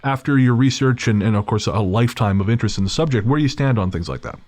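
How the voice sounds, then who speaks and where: A man's voice: medium pitch, fast, darkish tone, dry recording. A man hosting a podcast, casually interviewing a guest and asking curious, thoughtful questions. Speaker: podcast host.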